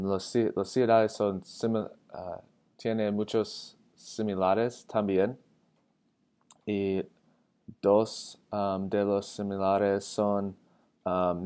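An eighteen-year-old American man, a native English speaker, giving a halting self-introduction in learner's Spanish and searching for words. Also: sits low, dark tone, clean tone. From Atlanta, United States. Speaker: college student. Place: indoor room with tall windows. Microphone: webcam framing with wired earphones.

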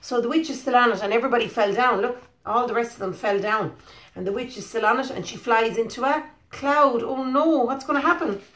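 Preschool storyteller: Irish woman, thirties, highish voice, expressive and questioning, roomy indoor recording. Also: a little fast. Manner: expressive, questioning, simple child-directed talk. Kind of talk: animated children's story reading with asides. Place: living room, indoors. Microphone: phone or webcam at about arm's length. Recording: echoey living room.

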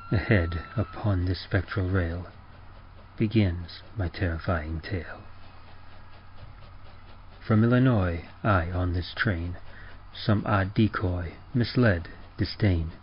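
Very deep-voiced man reading horror. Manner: measured, ominous. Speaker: podcast presenter reading horror fiction and poetry. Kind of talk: slow dramatic scripted narration in old-time radio manner. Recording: dull lo-fi audio with clear noise.

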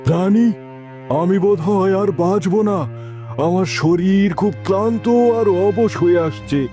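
A man acts out an ailing old king with a high-pitched, dark-toned voice. He is weary and troubled, speaking formally and plaintively as in a fairy-tale cartoon, with music behind him. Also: steady tone, a little slow. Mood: weary, despairing.

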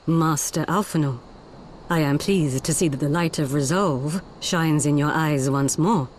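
A woman's voice: low voice, measured pace, a little mellow, slight background noise. A woman playing a composed, dutiful ninja leader in a video game, formal and deferential. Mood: respectful. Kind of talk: dramatic video game acting.

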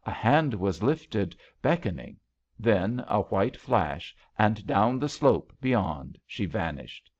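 A man's voice: fairly low pitch, sing-song, slow pace. A man reading literary fiction aloud as an audiobook narrator, in a slightly dull recording. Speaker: audiobook reader.

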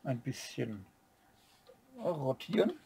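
A man's voice: mid-range voice, sing-song, slow pace, gravelly, mellow, breathy edge. A man co-hosting a building-set review, adding short remarks and joking asides in a casual chat. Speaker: co-host of a brick-building review channel.

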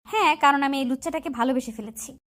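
A woman's voice: sits high, fast talker, fairly bright tone. A woman playing a feisty, bossy college girl in love, scolding and pleading in a romantic audio story. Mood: teasing, insistent.

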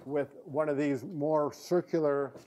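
A middle-aged American man with a slightly high voice explains things in a casual, practical way. He talks conversationally, like a therapist walking someone through a demonstration. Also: fairly even intonation, unhurried, a little mellow, slight background noise. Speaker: physical therapist presenter. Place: indoor therapy room.

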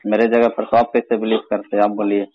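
Tinny phone line, low-voiced Bihari man. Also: little pitch movement, fast. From Bihar, India. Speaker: phone call recipient. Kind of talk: wary, brief replies on a call.

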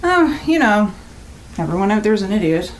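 A curt, stressed female guest at a rental, played by an actress, with a medium-pitched voice. She is blunt and critical in a casual, naturalistic audio-drama manner, with faint noise behind her. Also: big pitch swings, moderate pace, close to an everyday voice. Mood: weary.

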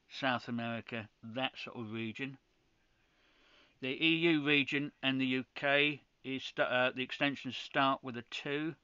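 Bright, clear-voiced man explaining setup. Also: medium pitch, no room sound, muffled recording. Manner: practical, step-by-step, with frequent restarts. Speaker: ham radio channel host. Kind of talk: screen-recorded tutorial.

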